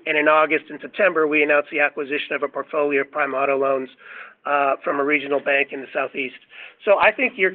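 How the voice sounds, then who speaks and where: A male company executive giving a measured, businesslike answer on an earnings call over a phone line. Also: fairly high pitch.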